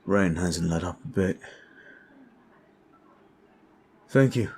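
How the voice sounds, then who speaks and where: A man playing a calm, courteous, protective vampire in an ASMR roleplay, soft-spoken and halting, with formal phrasing. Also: deep voice, slight background noise. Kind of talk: ASMR roleplay audio drama.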